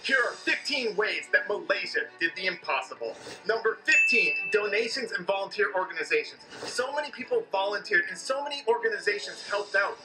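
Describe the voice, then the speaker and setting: Energetic male narrator over music. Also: high-pitched, fairly quick, few pauses, poor audio. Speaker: video narrator. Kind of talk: upbeat online explainer narration. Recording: played through speakers with background music.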